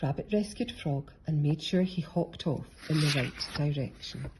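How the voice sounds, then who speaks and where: A woman's voice: deep voice, moderate pace, background noise. A woman narrating a children's picture book as a storybook reader.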